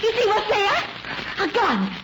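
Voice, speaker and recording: A woman's voice: very high voice, huge pitch swings, measured pace, heavy background noise, full character voice. A woman playing a manipulative, menacing sister in an old radio melodrama, intense and domineering, on a vintage recording. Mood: menacing, urgent. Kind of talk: 1940s radio melodrama acting.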